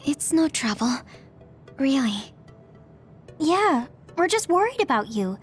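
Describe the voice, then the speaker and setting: An actress playing a gentle, concerned young female squadmate with a soft manner in a video game. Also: sits high, very animated, takes it slowly, soft background music, heavily acted. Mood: protective. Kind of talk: video game cutscene acting.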